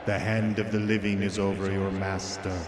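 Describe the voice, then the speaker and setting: A man playing a commanding, lonely vampire count in gothic radio horror, with slow, incantatory, seductive and menacing delivery. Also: low voice, little pitch movement, noisy background, lightly acted. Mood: commanding incantation. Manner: slow, incantatory, drawn-out phrasing.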